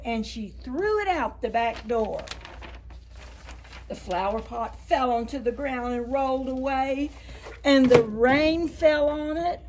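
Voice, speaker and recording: A woman with a fairly high voice reads picture books aloud for preschoolers. She takes her time, speaking slowly and deliberately. Key words get stretched and stressed in an expressive storytime delivery. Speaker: children's librarian, storytime host.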